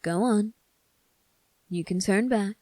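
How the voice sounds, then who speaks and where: A woman voicing a sly, teasing young prince in a scripted ASMR roleplay, speaking deliberately and smugly with calm command. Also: mid-range voice, no room sound, lightly acted. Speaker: voice actor.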